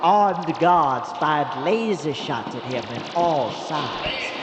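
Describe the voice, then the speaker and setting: Slow, reverberant old storyteller. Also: male voice, a little high, lively intonation, very noisy. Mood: suspenseful. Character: old morgue attendant storyteller. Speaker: narrator. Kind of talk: dramatic scripted storytelling. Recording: echoey, long reverb under loud music.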